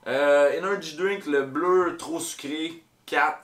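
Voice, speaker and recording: A man's voice: a little high, slow pace. A man with a Québécois accent giving blunt, crude drink ratings on a podcast. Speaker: podcast co-host. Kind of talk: casual chat. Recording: roomy echo.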